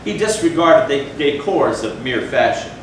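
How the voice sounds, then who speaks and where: A man delivers a gothic tale as a dramatic stage reading. His phrasing is measured and theatrical, with deliberate pauses. The recording is distant and heavily reverberant, with clear noise over it. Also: mid-range voice, animated. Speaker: readers theatre performer. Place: large echoey room, live stage performance. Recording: echoey hall from far off, noisy.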